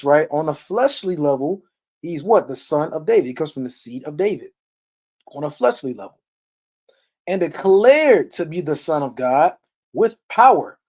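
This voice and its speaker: A man's voice: fairly high pitch, a little mellow. A man giving an informal, verse-by-verse Bible teaching, emphatic and blunt, often checking in with short questions and tags. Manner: blunt, salty language. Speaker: Bible teacher on a live stream. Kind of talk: informal sermon, verse-by-verse read-along commentary.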